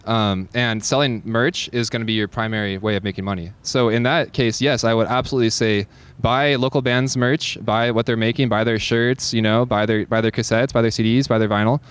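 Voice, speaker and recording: Male, medium pitch. Informal, sincere interview guest who thinks out loud. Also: steady tone, brisk, clean tone. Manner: earnest, informal, thinking aloud. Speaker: cassette label owner and musician, interview guest. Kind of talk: casual interview chat.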